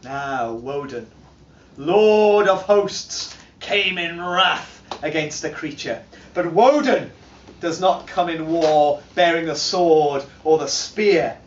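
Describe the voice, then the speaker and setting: Slow, declaiming male storyteller, distant. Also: on the high side, slight background noise. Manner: slow, declaiming, swells to loud peaks. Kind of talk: dramatic reading of an old charm. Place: large timber hall, indoors. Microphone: camera filming from across the room. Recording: distant, roomy and dull.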